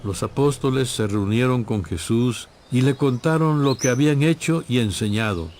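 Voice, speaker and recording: A man narrating a dramatized audio Bible from a script in solemn, clearly phrased storytelling. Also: mid-range voice, moderate pace, darkish tone, a little hiss or hum.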